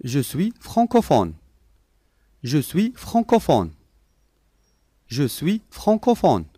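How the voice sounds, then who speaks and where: A man reading short phrases slowly and clearly for learners, repeating each sentence three times. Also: fairly high pitch, wildly swooping pitch, dark tone, no room sound, studio quality. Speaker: language lesson voice.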